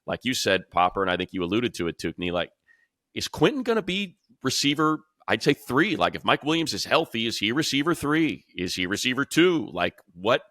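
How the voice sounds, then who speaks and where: A man's voice: medium pitch, very animated, brisk, fairly bright tone, no room sound, studio quality. An American man hosting a casual sports panel, talking informally and throwing out questions. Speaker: sports show host and play-by-play announcer.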